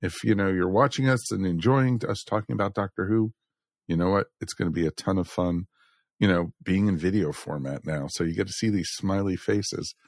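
A man's voice: deep voice, fairly quick, no room sound, studio quality. An American man hosting a podcast in a casual, chatty style. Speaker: podcast host.

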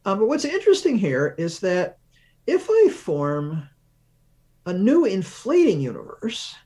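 A man giving a measured, deliberate explanation as an expert guest in a science interview, stressing key words. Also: high-pitched, huge pitch swings, mellow. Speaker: guest research professor, physicist.

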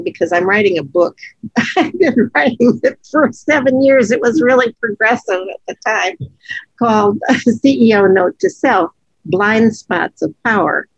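A woman co-hosting a podcast, chatting frankly and laughing often, her voice low from allergies. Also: lively intonation, unhurried, slightly rough, dry recording, dull audio. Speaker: podcast co-host. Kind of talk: casual conversational podcast.

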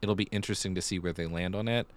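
A man's voice: low-pitched, fairly even intonation, rapid-fire. A man co-hosting a podcast in casual conversation, building his points through repeated phrasing. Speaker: podcast co-host.

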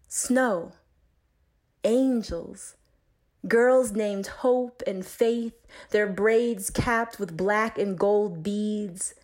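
Woman, medium pitch, very slow delivery, poetry reading, deliberate phrasing, measured pauses. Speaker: poet reading own poem.

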